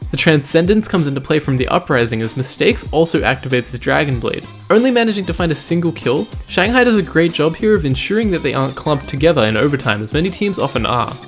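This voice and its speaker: A man with a slightly high-pitched voice narrates a scripted esports highlight recap as its host. His phrasing is measured, formal and wordy, and music plays behind him. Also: expressive. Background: background music.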